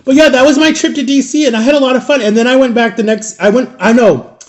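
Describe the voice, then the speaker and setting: Chatty man in his thirties, higher voice. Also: quick pace. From Florida. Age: 30s. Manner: rambling, self-correcting, chatty. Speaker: vlogger. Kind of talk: casual storytime chat to viewers.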